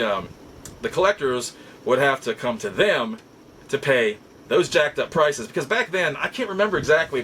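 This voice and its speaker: Bright-voiced middle-aged man, rambling storyteller. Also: medium pitch, slight background noise, low-quality recording. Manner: halting, repeats and backtracks on phrases. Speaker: podcast host and collector.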